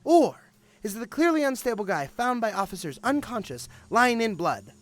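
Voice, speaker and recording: A man's voice: high-pitched, sing-song, moderate pace. A man playing a cynical, impatient police detective in an audio drama, with a sarcastic, emphatic way of talking. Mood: sarcastic, insistent. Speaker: voice actor.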